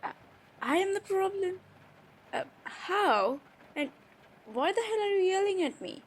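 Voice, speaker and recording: A woman playing a hurt, defiant young college-age stepsister in an improvised audio roleplay, speaking emotionally and haltingly with sighs and pauses. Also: very high voice, very animated, full character voice. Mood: angry, defiant. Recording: faint background noise.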